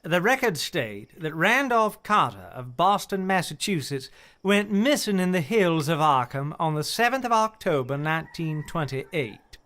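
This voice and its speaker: A formal, high-pitched, animated gentleman. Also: a little slow, exaggerated voice. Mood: firm, exasperated. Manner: courteous, orderly, articulate. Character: formal, exasperated gentleman chairing an estate hearing. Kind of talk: radio play acting.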